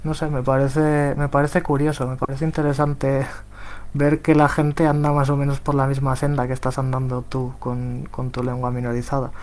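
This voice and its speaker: Guy from spain talking on a video call, pretty monotone, lots of ums and restarts. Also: mid-range voice, moderate pace. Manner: flat, hesitant, many restarts. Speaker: volunteer software translator. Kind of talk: remote panel talk.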